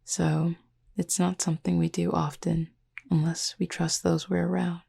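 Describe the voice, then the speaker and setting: A woman voicing a calm, proud but caring cupid roommate in a whispered sleep-aid roleplay, speaking in a breathy, husky half-whisper with measured, formal, gently teasing phrasing. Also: fairly low pitch, full character voice. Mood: aloof, gently teasing.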